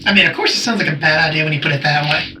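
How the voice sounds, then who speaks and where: An actor playing a nervous, cautious friend in naturalistic audio drama, talking in a casual, hesitant way. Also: male voice, fairly high pitch, rapid-fire, pushed and bright, slight background noise. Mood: uneasy.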